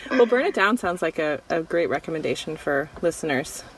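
A woman's voice: low-pitched, expressive, fairly quick, background noise. A woman hosting an interview who asks encouraging questions in a conversational way. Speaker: podcast host interviewer.

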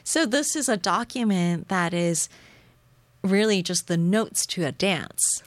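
A woman's voice: lowish voice, animated, slow pace, dry recording. A woman whose first language is Japanese, speaking English as a poet and translator in a thoughtful, musing conversation, often laughing lightly. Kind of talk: conversational interview.

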